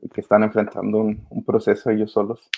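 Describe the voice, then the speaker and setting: Low, creaky man, hesitant. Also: completely flat delivery, brisk, darkish tone. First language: Spanish. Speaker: interview guest, migrant children's advocate. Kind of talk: casual, earnest interview answers. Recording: slightly dull, lo-fi sound.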